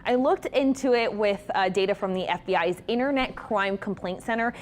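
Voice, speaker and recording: A woman's voice: medium pitch, normal speed. A woman working as a TV news reporter, delivering a scripted report.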